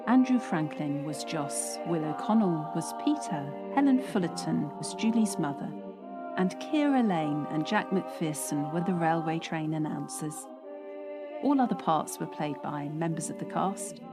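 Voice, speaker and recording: A woman reading a scripted announcement as the credits announcer of an audio production. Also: deep voice. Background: music.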